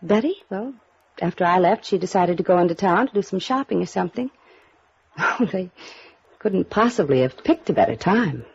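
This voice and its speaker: A woman's voice: fairly low pitch, lively intonation, normal speed, creaky, slightly rough, full character voice. An actress playing a guarded, upper-class young woman with cool, defensive retorts in a 1950s radio drama. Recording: muffled old radio recording.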